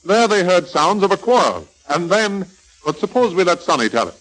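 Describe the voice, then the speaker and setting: A man's voice: sits high, sing-song, moderate pace, a bit bright, rough recording. An American man reading scripted story narration in an old radio serial style.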